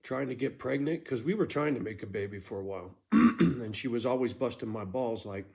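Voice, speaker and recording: A man's voice: mid-range voice, lively intonation, brisk, vocal fry, darkish tone. Blunt, profane middle-aged man telling stories. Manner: blunt, profane, conversational with frequent "right?". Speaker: storyteller telling his own life story. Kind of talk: casual personal storytelling.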